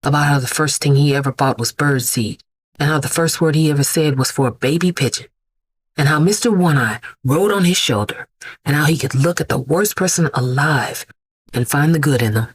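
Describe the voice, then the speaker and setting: Grieving, defiant vigilante mother, middle-aged; low register, very dry close sound, emphatic audio-drama monologue. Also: breathy edge, dry recording. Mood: wounded, resolute. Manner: emphatic, deliberate, repeating words for weight.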